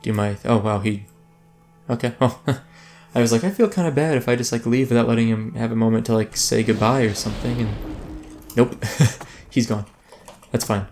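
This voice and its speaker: A man's voice: mid-range voice, moderate pace, a little mellow, faint music behind. A man hosting a let's play, giving casual, rambling gameplay commentary that keeps breaking off mid-thought. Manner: rambling, self-interrupting, chatty.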